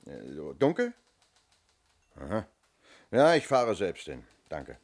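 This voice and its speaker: An actor playing a businesslike police inspector in a 1970s German radio crime play, asking clipped, matter-of-fact questions. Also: male voice, sits low, huge pitch swings, slow, heavily acted. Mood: brisk, businesslike.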